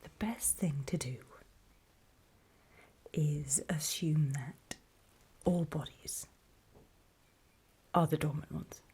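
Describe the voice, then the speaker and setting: A woman playing a weary, wary zombie apocalypse survivor in a halting, breathy whispered ASMR horror roleplay. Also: low-pitched, fairly even intonation, dark tone, full character voice. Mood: wary, resigned. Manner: halting, hesitant, slow and trailing off.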